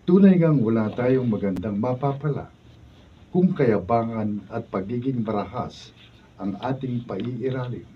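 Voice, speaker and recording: A man's voice: mid-range voice, animated, a little slow. A man giving scripted closing narration for a radio drama. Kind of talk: scripted moral narration and cast credits. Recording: distant dull studio video sound, faint noise.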